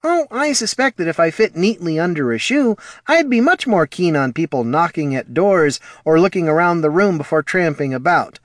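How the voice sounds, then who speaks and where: A man's voice: a little high, very animated, normal speed, hardly any pauses, no room sound, clean studio sound, pitched above the performer's own voice. A man voicing a fussy, anxious, proper little businessman in a fantasy audiobook, prim and wordy. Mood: earnest.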